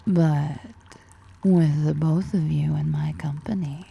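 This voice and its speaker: A woman's voice: fairly even intonation, slow, dark tone, exaggerated voice. A woman playing a powerful, predatory drider ruler in an ASMR roleplay, half-whispering with teasing, flirtatious menace. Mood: seductive, teasing. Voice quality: breathy, half-whispered, creaky low voice.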